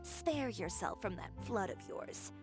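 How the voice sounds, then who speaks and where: An actress playing a sharp-tongued, proud young fashion designer in a video game, sardonic and crisply commanding. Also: female voice, mid-range voice, animated, a little slow, lightly acted. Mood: defiant. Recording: music behind the voice.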